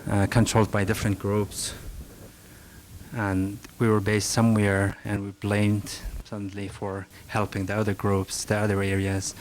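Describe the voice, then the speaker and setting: A middle-aged Afghan man speaks in a low, level voice, stopping and restarting often as he answers questions from the audience. The talk sounds like an archival recording from a library meeting room, with a faint hiss underneath. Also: monotone. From northern Afghanistan. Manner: halting, frequent restarts and fillers, soft-spoken. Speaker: rug merchant giving a talk.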